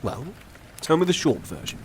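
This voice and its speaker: An actor playing a worldly, unsentimental older doctor in an audio drama, measured and dryly sardonic. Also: male voice, a little high, sing-song, darkish tone, slight background noise. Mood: wistful, musing. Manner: measured, dry, reasoning.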